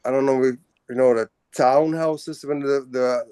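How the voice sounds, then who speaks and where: Man, from Sweden, band vocalist, halting, many fillers, dry recording. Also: medium pitch, fairly even intonation, warm tone, clean tone, dry recording. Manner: hesitant, many restarts and fillers. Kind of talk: casual interview answers.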